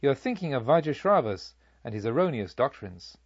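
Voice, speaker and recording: A man voicing a serene, wise holy monk who gently admonishes in a calm, measured, knowing way, as in an audiobook. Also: mid-range voice, husky, no room sound, muffled recording. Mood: gentle admonishing. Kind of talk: dramatised audiobook dialogue.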